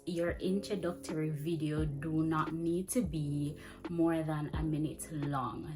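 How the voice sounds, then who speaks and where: A young woman giving chatty, fast-paced teaching advice to camera in a small indoor room. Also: sits low. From Jamaica. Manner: chatty, fast-talking, animated with hand gestures. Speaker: vlogger and ESL teacher. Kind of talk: casual advice vlog. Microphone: camera framing head to chest.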